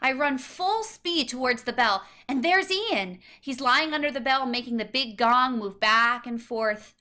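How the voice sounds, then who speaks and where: Bright-voiced woman reading a storybook. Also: high voice, expressive, unhurried. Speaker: storybook reader. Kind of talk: scripted children's story narration, read aloud.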